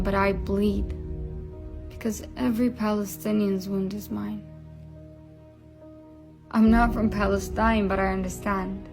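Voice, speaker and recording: A woman reciting a spoken-word poem in a slow, measured way. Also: medium pitch. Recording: video with music bed. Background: music under the voice.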